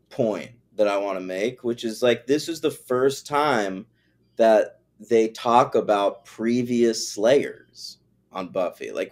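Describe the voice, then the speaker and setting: Laid-back, low-voiced man swearing casually. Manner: laid-back, profane, trivia-minded. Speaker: podcast co-host. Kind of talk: casual episode recap chat.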